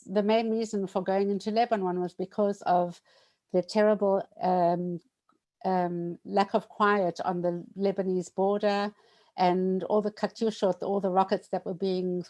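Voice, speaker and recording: A woman in her sixties telling a personal memorial story, hesitant and reflective, with frequent pauses and restarts. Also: mid-range voice, mellow. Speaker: bereaved widow sharing memorial story.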